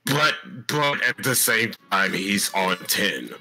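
Man playing a low, animated robot bear guide. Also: takes it slowly, slight background noise, heavily acted. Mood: wry warning. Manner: urgent, repetitive coaching with sudden yelps. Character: protective robot bear companion giving urgent guidance. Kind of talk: improvised comedic fandub.

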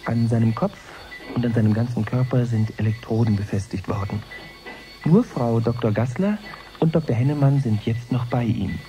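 Casting: male actor for earnest male live reporter, radio play, measured and formal, mellow tone, faint music behind. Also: mid-range voice, close to an everyday voice, low-quality recording. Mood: hushed suspense.

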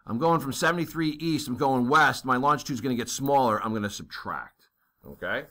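A man's voice: mid-range voice, fairly quick, pushed and bright. A man teaching a navigation math lesson, working a problem step by step and thinking aloud, checking in with "okay?" and plenty of fillers. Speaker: navigation instructor.

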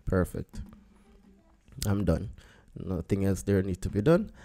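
A man, a photographer, talking through a photo edit step by step in a relaxed screen-recorded tutorial, thinking aloud as he works. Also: sits low, slow pace. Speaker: photographer teaching photo editing.

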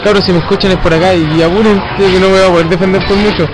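Chileno de voz aguda jugando con amigos. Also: male voice, sits high, steady tone, racing, low-quality recording. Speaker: gamer playing co-op with friends. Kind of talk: casual gaming chat and callouts. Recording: loud game music over voice. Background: loud game music and sounds.

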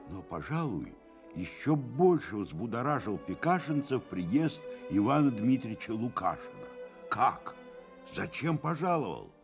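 A man's voice: mid-range voice, very animated, unhurried, vocal fry, faint music behind. A man giving scripted literary narration for a radio play.

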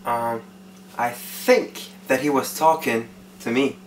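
A man's voice: mid-range voice, slow, slight background noise, lightly acted. A young man playing an irritated, insistent caller in an amateur comedy sketch. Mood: confused. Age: young adult. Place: living room. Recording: echoey living room.

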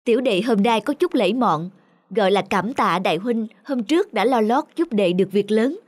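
A woman voicing an obsequious male petitioner in an animated folk tale, flattering and deferential. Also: mid-range voice, moderate pace, soft background music, lightly acted. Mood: fawning. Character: fawning male petitioner bearing gifts, obsequious.

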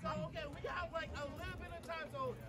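A man's voice: very high voice, steady tone, fast talker. A boisterous man who swears freely, announcing and chatting casually as co-host of an outdoor gathering. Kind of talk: crowd announcing and casual chat. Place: open outdoor plaza with wide steps. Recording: outdoor crowd noise. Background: crowd chatter and street sound.

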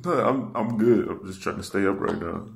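Deep-voiced young man, slangy prank caller. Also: a little fast, gravelly. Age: young adult. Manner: pushy, repetitive, swearing. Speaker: prank caller, video host. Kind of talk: prank phone call, slangy. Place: small room indoors at a table. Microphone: camera across the table.

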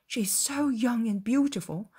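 A woman's voice: on the high side, moderate pace, warm tone, husky, pitched above the performer's own voice. A woman voicing a kindly, compassionate male shepherd in a story, speaking earnestly and eagerly. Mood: tender, excited.

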